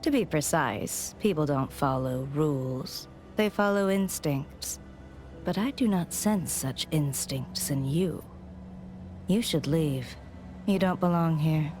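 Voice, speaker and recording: An actress playing an enigmatic, detached woman guide in a video game, speaking in a measured, formal, cryptic way. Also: low-pitched, lively intonation, darkish tone, faint music behind.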